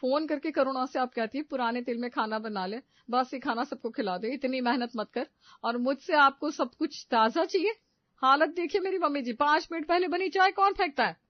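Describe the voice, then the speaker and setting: A woman's voice: high voice, normal speed, dry recording, voice pushed up, close to an everyday voice. An indignant young son-in-law in a storybook family tale, played by a woman, full of exasperated complaint. The sound is dry and slightly muffled.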